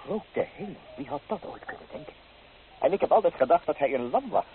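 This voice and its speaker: Man playing a lively, mocking seducer, tinny recording. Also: a little high, a little fast, close to an everyday voice. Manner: mocking, smooth-talking. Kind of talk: radio play acting. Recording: old tinny, band-limited radio recording with hiss.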